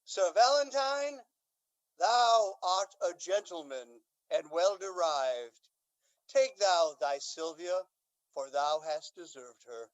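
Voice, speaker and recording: An actor playing a dignified, magnanimous Duke in a Shakespearean radio drama, speaking in a stately, declamatory manner. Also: male voice, high voice, lively intonation, slow, clear, resonant tone, poor audio. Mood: solemn, approving. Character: dignified, magnanimous noble Duke, an indulgent father. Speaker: amateur stage actor.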